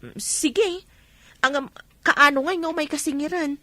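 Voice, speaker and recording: Woman acting patient, high-voiced Ilocano peddler. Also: normal speed, sharp tone. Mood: polite, businesslike. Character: patient, soft-hearted woman peddling goods on credit. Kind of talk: radio comedy drama acting.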